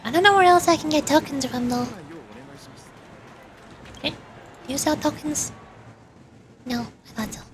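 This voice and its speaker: High-voiced woman streaming a game. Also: a little slow, long pauses, background noise. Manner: musing aloud to herself. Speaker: streamer playing a video game. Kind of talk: casual game commentary with read-aloud dialogue. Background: game music.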